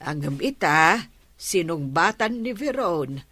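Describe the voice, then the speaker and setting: An actress playing a patient, worried middle-aged mother in a radio drama, coaxing in a measured way. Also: on the low side, expressive, a bit bright, no echo, full character voice. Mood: gently reproachful. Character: patient, worried mother coaxing her troubled grown daughter.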